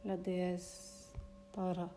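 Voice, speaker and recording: A woman teaching yoga nidra, guiding a meditation in a soothing, hushed, breathy delivery that often drops to a near whisper, with long pauses. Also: on the low side, completely flat delivery, music in the background. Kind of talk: guided meditation.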